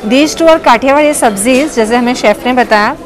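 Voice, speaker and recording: A woman's voice: high voice, moderate pace. A woman in her thirties giving a casual food review vlog on a lapel mic in a restaurant dining hall, chatting and mixing in English phrases. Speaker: food vlogger. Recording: restaurant chatter and music behind.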